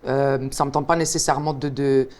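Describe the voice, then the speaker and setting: A woman's voice: a bass voice, steady tone, a little mellow. A young woman from Montreal with a Quebec French accent, chatting casually and upbeat as a musician. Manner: upbeat, quick, affirming. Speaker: musician guest. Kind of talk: casual conversation.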